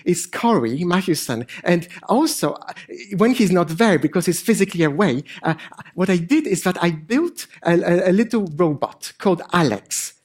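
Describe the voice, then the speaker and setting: Middle aged guy w french accent, slight polish tinge, voice a bit high, presenting on stage, hesitant n deadpan. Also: normal speed. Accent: French with a hint of Polish. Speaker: conference speaker, AI researcher and improviser. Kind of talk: informal stage presentation with dry humour. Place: on stage at a conference.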